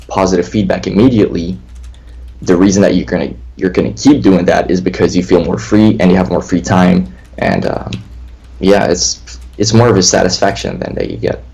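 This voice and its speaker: A man's voice: low voice, normal speed, gravelly, dark tone, breathy edge, poor audio. A twenty-year-old man co-hosting a casual livestream chat, rambling and often repeating himself. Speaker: co-host of a lucid dreaming stream. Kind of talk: casual livestream chat, reading viewer questions.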